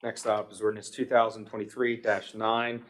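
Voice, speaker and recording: A man's voice: on the low side, little pitch movement, normal speed, a bit bright, slight background noise. An American man chairing a township meeting, formally reading out long ordinances and motions in a procedural way. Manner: procedural, reading long legal text aloud. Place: township public meeting room.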